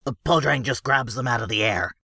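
A man voicing an unhelpful, matter-of-fact gnome with a gravelly voice in a storybook reading. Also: medium pitch, fairly quick, bright, forceful tone, dry recording, studio quality. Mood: flat explaining.

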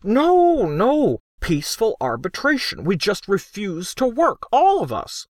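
A man doing an earnest, lively man-turned-gnome. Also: sits high, a little slow, dry recording, studio quality, voice pushed up, heavily acted. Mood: persuasive. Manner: earnest, reasoning. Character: weary man trapped as a gnome, earnest and persuasive.

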